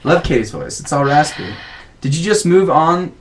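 A man's voice: fairly high pitch, very animated, normal speed. A young man in his twenties, chatting casually and reading viewer comments aloud on a live webcam stream from a home studio. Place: home music studio, indoors. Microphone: webcam mic.

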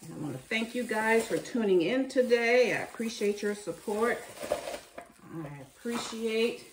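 A woman's voice: a little high, a little slow, distant mic, noisy background. A woman hosting a cooking channel, chatting in a homey way with her viewers as she preps food in her kitchen. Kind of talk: casual meal-prep chat while cooking. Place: home kitchen. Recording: echoey room, mic set back from her.

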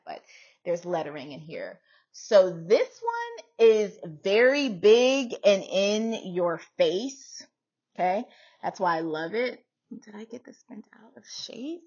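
A middle-aged woman with an American accent gives a casual, chatty try-on talk. Her voice sits on the low side with a husky edge, and she leans on phrases by repeating them. The home recording sounds slightly dull. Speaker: vlogger showing a hat collection. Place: small room indoors at home.

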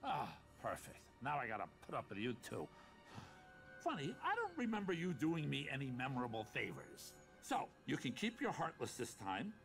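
An actor with an American accent playing a sarcastic, fast-talking god of the underworld in a video game, slick and theatrical. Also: male voice, mid-range voice, huge pitch swings, a bit bright, faint music behind. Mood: exasperated. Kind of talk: animated video game acting.

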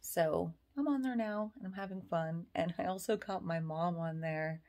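A woman's voice: on the low side, moderate pace. A woman in her thirties chatting casually to her phone camera from bed, sometimes dropping to a whisper. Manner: chatty, slips into soft whispers. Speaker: vlogger. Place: bedroom, lying on a bed indoors. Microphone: phone camera at arm's length.

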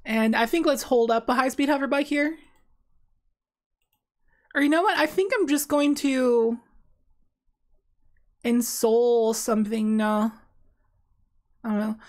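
A woman's voice: fairly high pitch, slow, pauses often, darkish tone. Rambling young woman streaming a card game. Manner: rambling, many fillers and restarts. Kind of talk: casual gameplay commentary. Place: small dim room indoors.

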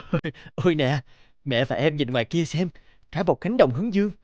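Guy playing an earnest cartoon dad, loving, highish voice, slightly muffled dry sound. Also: expressive, brisk, a little mellow, no echo, natural voice. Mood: tender, excited. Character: excitable, loving young father of a newborn girl. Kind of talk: cartoon voice acting. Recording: dull, dry, music underneath.

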